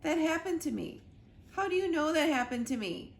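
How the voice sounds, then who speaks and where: A woman's voice: high-pitched, expressive, moderate pace, pitched above the performer's own voice. A woman voicing an earnest, indignant young boy spy from a children's storybook, in an emphatic way. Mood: indignant, startled. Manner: emphatic, exclaiming. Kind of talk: children's storybook read-aloud. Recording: roomy echo with clear background noise.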